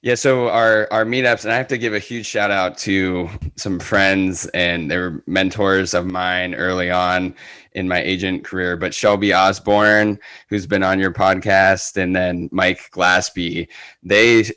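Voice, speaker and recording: A man with a low, clean, resonant voice talks as a podcast guest in a dry, close recording. He is earnest and enthusiastic, speaking in a casual conversational style. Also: level tone, measured pace, pushed and bright, clean tone, dry recording. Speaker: podcast guest, real estate agent. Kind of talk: casual interview talk.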